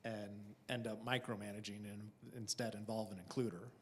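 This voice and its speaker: A man's voice: lowish voice, little pitch movement, a little fast, a little hiss or hum. A man in the audience of a conference workshop, sharing a point in a matter-of-fact way. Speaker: audience member. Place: conference room.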